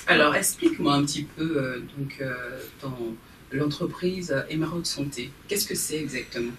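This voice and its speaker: A woman's voice: deep voice, moderate pace, fairly bright tone. A woman hosting a TV talk show, opening with a formal welcome and steering the guest with questions. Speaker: TV show host. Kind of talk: TV studio interview. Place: TV studio. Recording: roomy studio, distant mic, faint noise.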